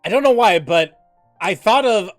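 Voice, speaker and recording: Animated woman streamer reacting to a game. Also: medium pitch, moderate pace, faint music behind. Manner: sweary, reactive. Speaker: gaming streamer. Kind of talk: casual game playthrough commentary.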